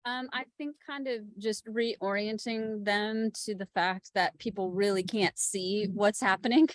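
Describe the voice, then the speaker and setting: A woman's voice: mid-range voice, fairly even intonation, a little slow, no echo. A woman explaining her craft as a producer on a panel, informal and tentative in her phrasing. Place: remote call.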